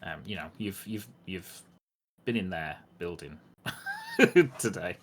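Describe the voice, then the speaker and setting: A man narrating a tabletop game as game master, casual and halting as he searches for words. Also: low voice, a little hiss or hum.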